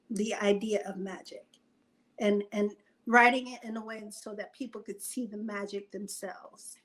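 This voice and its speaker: A woman speaking as a guest writer in a casual interview, conversational and animated, thinking aloud as she goes. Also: medium pitch, poor audio.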